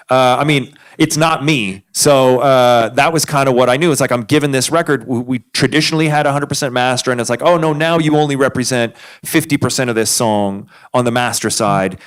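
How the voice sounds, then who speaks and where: A man moderating a live panel discussion at a conference, talking in a loose, conversational way with fillers. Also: mid-range voice. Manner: conversational, thinks aloud with fillers.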